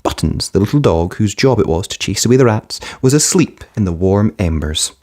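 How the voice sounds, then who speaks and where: Low-voiced man reading fairytales. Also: expressive, brisk, mellow. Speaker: storybook narrator and channel presenter.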